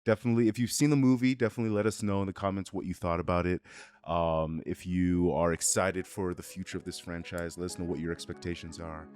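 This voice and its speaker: A man's voice: deep voice, flat delivery, quick pace, a little mellow, smooth, no room sound. A man hosting a casual review chat, talking in a conversational, hedging way. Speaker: video review host.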